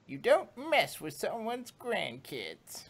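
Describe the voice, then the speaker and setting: 男声演绎的音调偏高的慈祥老奶奶小马. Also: male voice, sits high, wildly swooping pitch, takes it slowly, vocal fry, fairly bright tone, pitched above the performer's own voice, heavily acted. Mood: smug, reassuring. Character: kindly grandmother pony, protective, sorrowful but firm.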